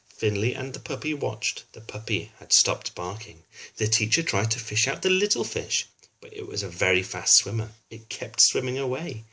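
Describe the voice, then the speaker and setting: A man's voice: on the low side, pushed and bright, a little hiss or hum. A man reads a children's storybook aloud expressively, adding informal explanatory asides for a young listener. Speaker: children's book reader.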